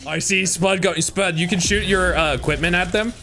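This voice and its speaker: A man's voice: sits high, moderate pace, gravelly, soft background music. A man streaming a multiplayer shooter, chatting casually with friends and laughing while he gives them directions. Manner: lively, laughing, giving teammates directions. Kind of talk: casual gaming chat.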